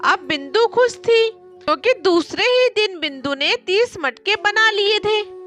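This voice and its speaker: A woman's voice: very high voice, lively intonation, a little slow, fairly bright tone. A woman reading scripted children's stories as a narrator, with music behind her. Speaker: storyteller. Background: background music.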